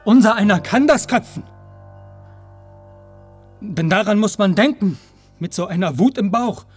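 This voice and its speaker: An actor in a radio play voicing an eager working-class man who justifies himself in halting, rambling colloquial speech. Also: a little high. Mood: angry. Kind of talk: radio play monologue and dialogue.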